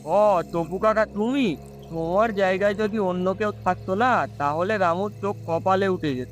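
A man's voice: sits high, normal speed, close to an everyday voice. A man voicing a brash, carefree young villager in a cartoon, teasing and dismissive. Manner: dismissive, breezy. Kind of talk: cartoon story voice acting.

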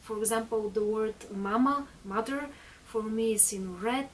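A woman's voice: fairly high pitch, a little slow, distant mic, slight background noise. A woman in her thirties, a native Bulgarian speaker, chatting through a makeup tutorial in a small bedroom with lots of fillers and restarts. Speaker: beauty vlogger.

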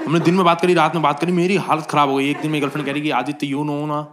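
A young man from India performing a stand-up comedy set on a club stage, telling stories in an animated, mock-exasperated way into a handheld mic. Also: on the high side, very fast, slight background noise. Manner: animated, conversational storytelling with mock-exasperated asides. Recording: roomy club sound with loud crowd laughter.

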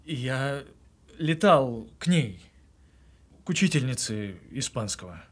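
An actor playing an evasive, self-justifying husband in a naturalistic audio drama, speaking in a halting, husky way. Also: male voice, mid-range voice, sing-song. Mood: earnest, self-justifying. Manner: halting, pausing mid-confession. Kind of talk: naturalistic audio drama with murmured inner monologue.

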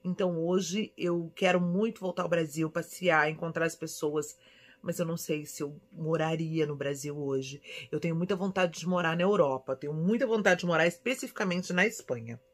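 A woman in her forties from São Paulo with a Brazilian accent, chatting casually and affectionately as she answers viewers' questions in an expressive, conversational way. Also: deep voice, normal speed. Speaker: vlogger. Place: small indoor room at home.

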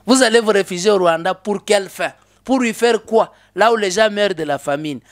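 A man's voice: sits high, a little fast. A man in his thirties speaking as a guest in a political studio interview, emphatic, with rhetorical questions and frequent hesitations. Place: small indoor studio. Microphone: desk mic on stand.

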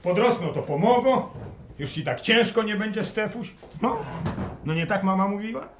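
Gruff farmer, swinging pitch, noisy recording. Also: male voice, lively intonation, normal speed. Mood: angry, bitter. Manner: grumbling, sarcastic. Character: gruff, resentful grown farmer son. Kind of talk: radio play acting in rural speech. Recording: roomy, noisy radio recording.

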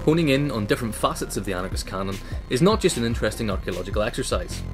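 A man with a medium-pitched voice reads scripted essay narration. Faint music plays behind him. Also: animated, very fast.

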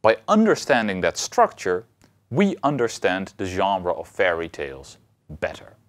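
Male lecturer, thirties, highly animated melody, deliberate emphatic phrasing, explanatory delivery. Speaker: university philosophy lecturer.

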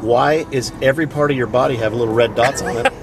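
A man's voice: medium pitch, quick pace, a little hiss or hum. A middle-aged man riding along in a car, bantering playfully and laughing through his jokes. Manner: joking, playful, laughs mid-sentence. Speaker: friend on road trip. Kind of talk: casual banter. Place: passenger seat of a car.

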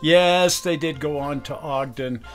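A man's voice: a little high, a little slow, fairly bright tone, faint music behind. A man in his sixties hosting a video, chatting casually and nostalgically, laughing easily, recorded indoors on a camera. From Utah. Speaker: video host. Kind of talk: casual chat.

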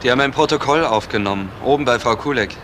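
A man acting as a plainclothes detective, blunt and terse, with a mid-pitched voice. He sounds matter-of-fact, in a crime radio play with clearly audible noise. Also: fast talker, close to an everyday voice. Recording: noisy old radio drama recording.

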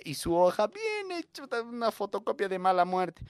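A young Bolivian man performs a comic monologue in a high voice with some creak. He is slangy and animated as a storyteller, and the recording has clear noise and clicks. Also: normal speed. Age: 20s. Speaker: stand-up monologue comedian.

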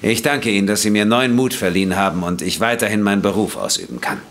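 A man playing a wronged, earnest and dignified doctor in an audio drama, speaking in a measured, formal way. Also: fairly low pitch, expressive, fairly bright tone. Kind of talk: German audio drama acting.

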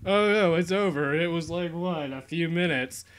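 A man's voice: a little high, normal speed, a bit bright, clean tone. A young man giving rambling, mock-boastful commentary while playing a game at his computer. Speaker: YouTuber. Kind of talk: casual gameplay commentary.

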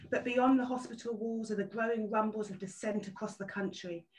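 A woman's voice: medium pitch, steady tone, fairly quick. A woman reading scripted stage directions for a play over a video call. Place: indoor room, video call. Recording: roomy, distant video-call audio.

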